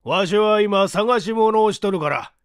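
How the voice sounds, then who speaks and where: An irascible old scientist in an audiobook story. He is bossy and gruff, barking in an old man's idiom at a high pitch, with a close, dry sound. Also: measured pace, dry recording. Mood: irritated, barking. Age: older. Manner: barking, old-fashioned elderly speech.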